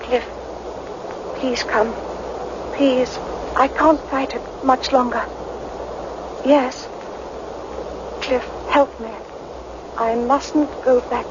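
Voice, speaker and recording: A high-voiced, frightened, dazed woman. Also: steady tone. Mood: desperate pleading. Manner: halting, dreamy, faltering. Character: frightened, dazed woman recalling an eerie encounter. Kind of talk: radio play acting. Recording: muffled, noisy radio play recording.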